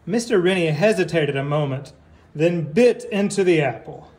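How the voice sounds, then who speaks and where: A man reading a picture book aloud like a teacher, deliberately, with casual asides and questions for young listeners. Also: a little high, lively intonation, darkish tone.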